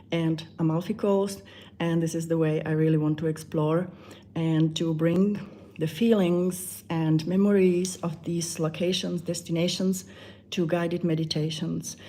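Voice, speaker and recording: A woman with a Czech accent, a meditation channel creator chatting softly and hesitantly on a live stream, with many fillers. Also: on the low side, slight background noise. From Czech Republic.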